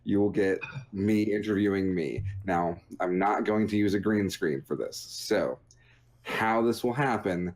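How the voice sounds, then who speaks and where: A man's voice: lowish voice, measured pace, a little mellow. A man co-hosting with casual comedic banter, sarcastic and playfully mock-serious. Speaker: co-host.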